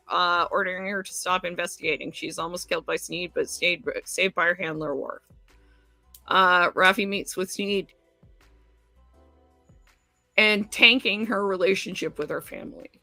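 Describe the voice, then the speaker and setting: A woman's voice: medium pitch, pushed and bright, no echo. A woman co-hosting a casual chat, reading an episode summary aloud with chatty asides. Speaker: podcast co-host.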